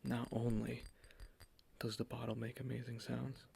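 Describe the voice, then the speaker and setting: A man speaks softly into a close microphone, sliding between quiet talk and breathy whispers as he plays a salesman in an ASMR roleplay. His voice has a gravelly, rough edge. He stays on a flat, even line, pitching his wares without rising or falling much. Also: unhurried, slight background noise. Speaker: ASMR creator playing a cologne salesman.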